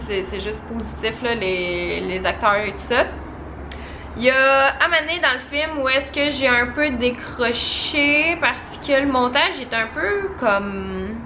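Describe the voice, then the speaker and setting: A young woman with a Québécois French accent, chatting casually to camera as a vlogger and giving a relaxed film review. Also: fairly high pitch, a little hiss or hum. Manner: chatty and animated, full of casual fillers.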